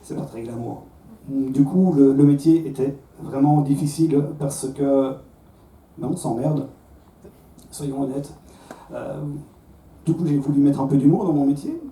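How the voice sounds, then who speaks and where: A man's voice: mid-range voice, measured pace, long pauses, mellow. A man from Belgium with a Belgian French accent, in his thirties, doing a self-mocking stand-up set on a comedy club stage, with stammered restarts. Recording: roomy club sound from a distance, faint crowd.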